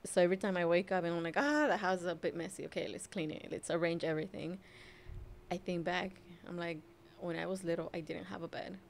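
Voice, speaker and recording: Soft-spoken, hesitant young woman from Mexico. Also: lowish voice, fast talker, gravelly, slight background noise. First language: Spanish. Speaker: guest, mother. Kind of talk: casual personal storytelling.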